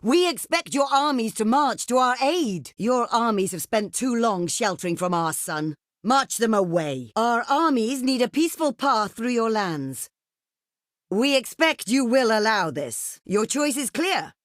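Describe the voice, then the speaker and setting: A woman playing a proud, shrewd desert queen in a video game, speaking in a formal, regal, measured way. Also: fairly high pitch, sing-song, no room sound, clean studio sound. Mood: commanding. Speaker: game character voice actor. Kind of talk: theatrical video game voice acting.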